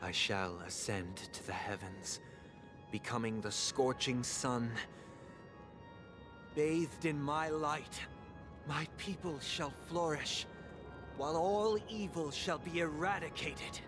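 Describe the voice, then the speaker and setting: An actor playing a resolute, composed young zealot in a video game, with a poised, formal, theatrical delivery. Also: male voice, fairly high pitch, slow pace. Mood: exalted. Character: resolute young male zealot, devoted brother, composed and lofty. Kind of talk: dramatic video game acting. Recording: game audio under loud music.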